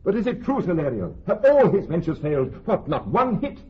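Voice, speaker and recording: An actor playing a loyal, ardent young Venetian gentleman in classical radio drama, with formal, impassioned verse. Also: high voice, big pitch swings, brisk, husky, exaggerated voice. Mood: distraught. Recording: dull vintage radio recording, music behind.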